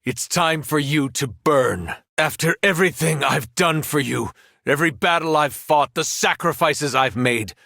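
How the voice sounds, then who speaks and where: A man voicing a powerful superhero in a video game, speaking in an earnest, deliberate manner. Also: on the high side, gravelly, bright, forceful tone, no room sound, studio quality, heavily acted. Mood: cold menace. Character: powerful male superhero, once kindly, now menacing. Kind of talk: acted video game voice lines.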